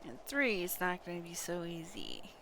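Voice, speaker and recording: A woman livestreaming a game playthrough, reading dialogue aloud in a theatrical, deliberate way with muttered asides. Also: lowish voice, huge pitch swings, vocal fry, noisy background. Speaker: game streamer.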